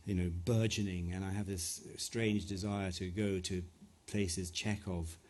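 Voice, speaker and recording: A man's voice: low-pitched, fairly even intonation, unhurried, darkish tone. A middle-aged man from West Africa, an author answering audience questions at a live talk in a thoughtful, hesitant way with many restarts. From West Africa (Ghana, Nigeria). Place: event venue before an audience.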